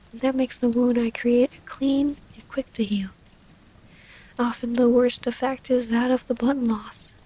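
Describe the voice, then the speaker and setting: A woman playing a devoted, gently predatory vampire lover in a soothing, breathy half-whisper for an intimate ASMR roleplay. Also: on the high side, low-quality recording. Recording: close-up with rain ambience, faint noise.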